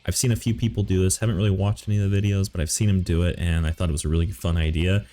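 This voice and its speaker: A man's voice: deep voice, steady tone, racing, mellow. A man hosting a gaming video, chatting casually and rambling with many ums and self-corrections while coming down with a cold. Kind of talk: casual commentary ranking game characters.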